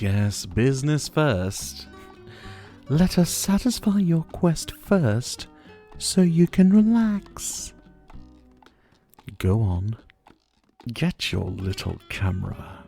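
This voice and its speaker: A man's voice: medium pitch, dark tone, exaggerated voice. A man acting a flirtatious, possessive dictator in an ASMR roleplay, with a theatrical, teasing, menacing delivery. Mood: teasing, smug.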